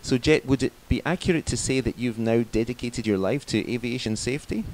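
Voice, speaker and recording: A man with a medium-pitched voice acts as interviewer. He speaks in a measured, conversational way, as when putting questions to a guest. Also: a little mellow, low-quality recording.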